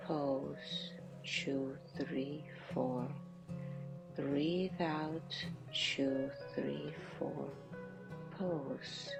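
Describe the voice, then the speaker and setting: A woman guides a meditative visualisation in a low, hushed voice with a creaky grain. She speaks extremely slowly and deliberately, leaving long breathy pauses between short phrases. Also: rough recording.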